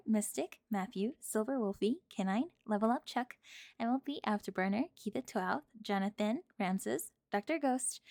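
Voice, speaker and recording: Woman, channel host, slightly high voice, dry close sound, scripted announcements. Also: darkish tone, dry recording. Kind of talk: scripted outro with supporter shout-outs.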